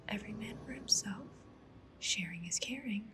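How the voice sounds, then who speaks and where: A woman whispering softly in an ASMR roleplay as a polite, attentive matchmaker, with a breathy voice and gentle, unhurried questions. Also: medium pitch. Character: polite, attentive female cyberpunk matchmaker interviewing a client. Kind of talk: soft-spoken whispered ASMR roleplay interview. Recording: clear ambient noise behind voice. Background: sci-fi ambience, writing sounds.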